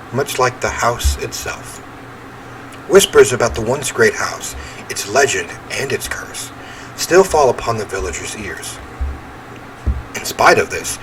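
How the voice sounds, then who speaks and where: A man's voice: medium pitch, fairly bright tone. A man hosting a horror game playthrough, chatting casually in a rambling, self-deprecating way and reading the story aloud. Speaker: gaming host playing a horror visual novel. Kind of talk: casual let's play commentary with read-aloud narration. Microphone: standalone microphone at a desk. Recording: noisy home gaming recording.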